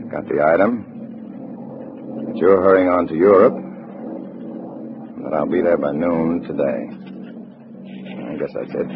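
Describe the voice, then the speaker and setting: An actor with an American accent playing a cool undercover agent in a 1950s radio drama, narrating in a clipped, wry way. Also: male voice, low voice, noisy background. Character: cool undercover agent narrating his double life as communist. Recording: dull vintage radio, music behind.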